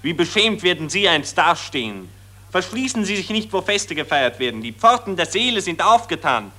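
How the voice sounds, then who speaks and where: An actor playing a pompous, patriotic optimist who lectures in a solemn, high-flown way. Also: male voice, big pitch swings, normal speed, bright, forceful tone, full character voice. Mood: lofty.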